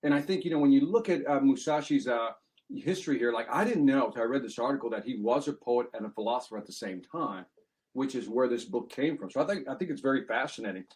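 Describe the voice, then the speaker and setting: A man's voice: mid-range voice, quick pace, darkish tone. A man hosting a sales podcast who chats in a loose, conversational way, often restarting his sentences and laying out his points as numbered items. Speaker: podcast host. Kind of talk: podcast interview.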